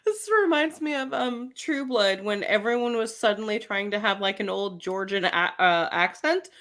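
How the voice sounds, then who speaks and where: A woman co-hosting a casual podcast, excitable and playful, often laughing and joking around. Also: medium pitch, normal speed. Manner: bubbly and excitable, lots of laughs and playful put-on voices. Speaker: podcast co-host. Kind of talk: casual live-stream chat.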